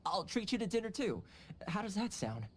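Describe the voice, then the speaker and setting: A man's voice: sits high, big pitch swings, moderate pace. An actor playing a courteous, easygoing and shrewd young male fixer in a video game. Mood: courteous, playful.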